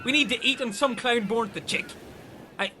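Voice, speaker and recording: A man's voice: extremely high pitch, normal speed, sharp tone, a little hiss or hum, exaggerated voice. A man playing a mad, hot-headed Irish castaway in a comic radio play, brash and emphatic.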